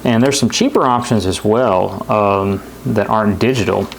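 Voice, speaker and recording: A man's voice: on the low side, moderate pace, rough recording. Man casually reviewing, over radio noise. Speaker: product reviewer. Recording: clear radio static and noise behind. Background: shortwave radio static and stations.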